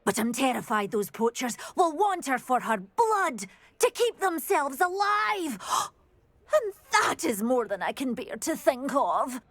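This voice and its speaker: An anxious, soft-hearted woman in a video game, fretting over a creature she adores. Her voice is high and swoops expressively, with an unhurried pace. She is earnest and effusive. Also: big pitch swings, dry recording, heavily acted. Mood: fretful, tender. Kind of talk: video game character dialogue.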